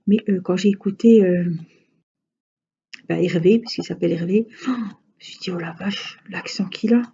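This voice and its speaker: A chatty woman with a Franche-Comté accent talks casually to her viewers, drawing out her letters and filling pauses with hesitations. Her voice is dark, husky and gravelly. The recording sounds dull and lo-fi. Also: on the low side. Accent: Franche-Comté French. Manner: chatty, rambling, frequent hesitations. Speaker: crafting vlogger. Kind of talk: casual chat while crafting.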